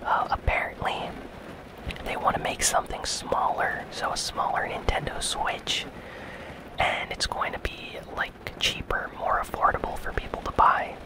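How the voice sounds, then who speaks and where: This is an ASMR gaming news host who speaks entirely in a soft whisper. The delivery is breathy and casual, moving along in an unhurried, conversational way with very little voiced tone. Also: rough recording.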